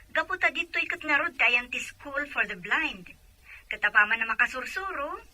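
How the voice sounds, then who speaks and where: A woman's voice: fairly high pitch, bright, forceful tone, rough recording. A woman playing a helpful younger sister in an audio drama, heard through a telephone effect. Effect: telephone filter.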